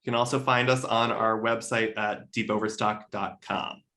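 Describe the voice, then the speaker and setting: A man's voice: lowish voice, fairly even intonation, normal speed, sharp tone. A man speaking casually in an interview, explaining things with enthusiasm as a podcast co-host. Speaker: podcast co-host appearing as guest.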